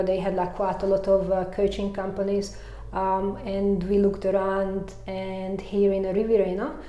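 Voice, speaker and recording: A woman's voice: mid-range voice, mellow. A woman from Hungary with a Hungarian accent, a mountain bike coach chatting casually in an interview, laughing often and filling her talk with "you know". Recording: echoey room.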